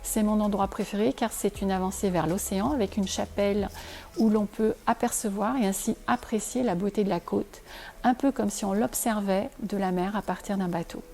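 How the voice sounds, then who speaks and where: A woman whose native language is Portuguese gives a measured, articulate scripted presentation as a real estate advisor. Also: mid-range voice, fairly even intonation, vocal fry, dark tone. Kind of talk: scripted promotional presentation to camera. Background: faint music.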